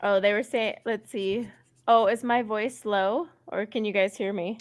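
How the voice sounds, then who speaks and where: A quiet-voiced woman talks casually as she colors live on stream from home. She speaks through a headset, soft and chatty, and laughs easily. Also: mid-range voice, fairly even intonation, a little slow.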